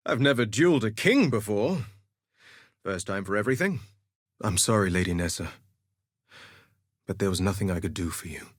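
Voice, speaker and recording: An actor playing a vain, flirtatious gladiator duelist in a video game, with a theatrical, suave and sardonic delivery. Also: male voice, medium pitch, very animated, moderate pace, slightly rough, dry recording, studio quality. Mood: wry, amused. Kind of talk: scripted game voice lines, theatrical quips.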